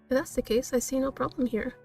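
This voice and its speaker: Woman reading as determined young magic heroine, earnest and coaxing, higher voice, visual novel, music underneath. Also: fast talker, vocal fry, a little mellow, far from the mic.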